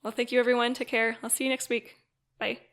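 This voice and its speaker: A woman's voice: fairly high pitch, flat delivery, quick pace, a bit bright. A woman hosting a podcast in a conversational, supportive and appreciative manner. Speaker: podcast host and quit-smoking coach.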